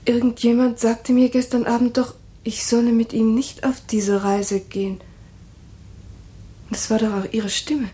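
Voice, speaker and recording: A woman's voice: on the high side, level tone, unhurried, dark tone, close to an everyday voice. A woman playing a bewildered young widow. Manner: questioning, bewildered. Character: shaken young widow, confused and pleading. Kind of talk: radio drama acting.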